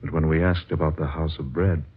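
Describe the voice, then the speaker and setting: A man's voice: extremely low pitch, fairly even intonation, brisk, a little hiss or hum. An actor playing an earnest, searching traveler, narrating his diary with solemn, literary deliberation in 1940s radio drama style. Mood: wistful, searching. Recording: dull vintage radio recording with music. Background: music.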